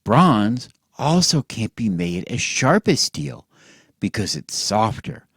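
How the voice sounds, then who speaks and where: Husky man telling stories, wildly swooping delivery. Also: on the low side, sing-song, no room sound, clean studio sound. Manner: theatrical, mock-earnest, with drawn-out words and hushed drops. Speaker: storyteller, host of a bedtime story series. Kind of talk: satirical bedtime storytelling, as if to children.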